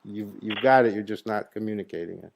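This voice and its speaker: A man with a low-pitched voice leads a spiritual gathering, questioning his listeners and pressing his points with emphasis. He speaks into a handheld microphone in a room with an audience. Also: fast, creaky, slight background noise, low-quality recording. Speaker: spiritual teacher leading satsang. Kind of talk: interactive spiritual teaching with a group.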